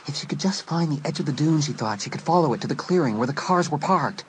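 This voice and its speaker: A man's voice: fairly high pitch, lively intonation, quick pace, slight background noise. A man narrating a horror audiobook in a suspenseful, dramatic storytelling manner. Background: loud music.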